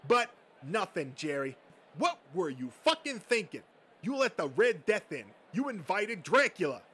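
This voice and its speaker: Man acting abrasive, bitter comic-book nerd, swooping pitch. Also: big pitch swings, unhurried, a bit bright, husky, exaggerated voice. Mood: furious. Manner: ranting, sneering, profane. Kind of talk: comic dub character acting.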